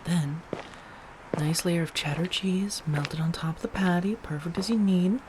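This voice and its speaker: A low-voiced, affectionate female vampire, acted by a woman, chats softly to her roommate in an ASMR audio roleplay. She is gentle and teasing, slipping into hushed asides. Faint background noise sits behind the voice. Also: unhurried, close to an everyday voice. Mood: teasing, enthusiastic. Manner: gentle, chatty, teasing, with soft murmurs and breathy asides. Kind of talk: soft-spoken ASMR roleplay.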